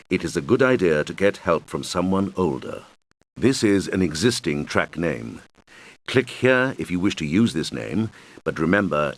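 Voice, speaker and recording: A man's voice: deep voice, heavily acted. A man voicing a helpful video game guide who patiently explains things to young players in scripted instructional lines. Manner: patient, clearly explanatory, addressing children. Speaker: game tutorial guide character.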